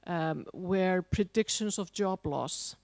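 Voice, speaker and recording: A woman from Iceland asking a question from the conference floor, measured and polite. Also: fairly low pitch, darkish tone, dry recording. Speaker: conference audience member.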